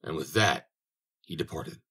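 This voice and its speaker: A man narrating a fairy tale in a measured audiobook reading. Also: very deep voice, expressive, pushed and bright, slightly rough. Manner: measured storytelling.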